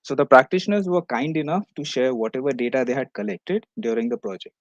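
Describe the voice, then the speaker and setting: Male academic presenter, medium-pitched, explanatory delivery of a prepared conference talk, recorded dry. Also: lively intonation, fast talker, dry recording, muffled recording. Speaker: research paper presenter.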